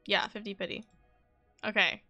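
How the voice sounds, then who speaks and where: A woman's voice: mid-range voice, fairly even intonation, normal speed, gravelly, sharp tone, faint music behind. A woman game streamer chatting and reacting casually, with playful, dramatic pleading and exclaiming.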